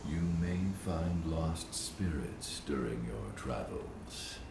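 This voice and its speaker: An ancient, solemn spirit elder from a video game, rumbling at an extremely low pitch and a crawling pace. The gravelly, ceremonial voice echoes from afar. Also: male voice, lively intonation, slow, background noise, full character voice. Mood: solemn, commanding. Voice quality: deep gravelly breathy growl. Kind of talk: video game cutscene acting. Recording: distant, echoing, over loud game ambience.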